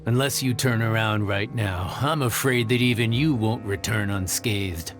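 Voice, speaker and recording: A man's voice: fairly low pitch, normal speed, over music, lightly acted. An actor playing a smug adult male mercenary leader in a video game, taunting and threatening in a mocking tone. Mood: menacing.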